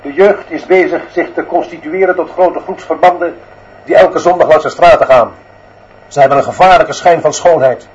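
A man's voice: a little high, moderate pace, muffled recording, a little hiss or hum, close to an everyday voice. A man playing a dictatorial headmaster who declaims his demands for iron discipline in a radio drama. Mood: severe, declaiming. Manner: declamatory, emphatic repeated demands. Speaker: actor in a radio play. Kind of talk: Dutch radio drama monologue.

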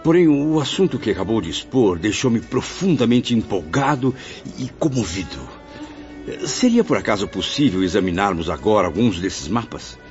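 A man's voice: lowish voice, very animated, normal speed, a little mellow. A man playing a humble, remorseful writer spirit in a radio drama, with a reverent, florid, hesitant manner. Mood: humble, moved. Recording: dull lo-fi audio, faint music.

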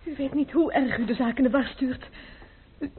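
Actress as a jittery maid at the door: very high pitch, phone-thin old radio sound with hiss. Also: female voice, normal speed, natural voice. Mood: flustered. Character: flustered young maid guarding the door. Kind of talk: radio drama acting. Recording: tinny old radio recording with clear hiss.

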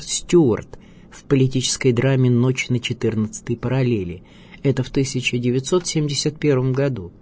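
A man narrating a scripted radio biography in a measured, expressive storytelling manner. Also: medium pitch, slight background noise. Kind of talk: scripted documentary narration with dramatic readings. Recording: music bed under voice. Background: music.

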